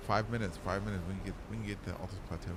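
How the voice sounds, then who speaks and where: A middle-aged man with a fairly low voice hosts a casual game stream. He talks in a folksy, rambling, joking way, thinking out loud as he plays. There is clear noise behind his voice. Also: steady tone, rapid-fire. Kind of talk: casual live gaming commentary. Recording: clearly noisy background.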